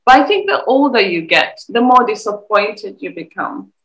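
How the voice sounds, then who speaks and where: A woman's voice: mid-range voice, lively intonation, moderate pace, crowd noise, poor audio. Female interviewer, thirties, from East Africa. Conversational, reflective, tells anecdotes on a video call. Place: indoors, plain room, video call.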